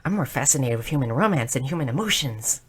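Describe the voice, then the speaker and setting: Casting: a woman as a bubbly, bookish goblin girl for audio roleplay, low-pitched, inquisitive, with a slight nervous stammer. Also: lively intonation, fast talker, breathy edge, full character voice. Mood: earnest, fascinated. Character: shy, bubbly, bookish young goblin girl, eager and curious. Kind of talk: scripted audio roleplay addressed to the listener.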